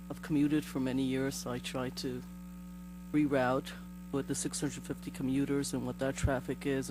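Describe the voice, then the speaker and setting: A hoarse man with a cold, a board member at a village meeting, asking rambling and apologetic questions. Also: mid-range voice. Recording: mains hum under the voice.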